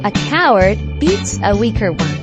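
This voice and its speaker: A woman reading scripted fables for children in a measured, expressive storybook manner. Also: medium pitch, loud music behind the voice.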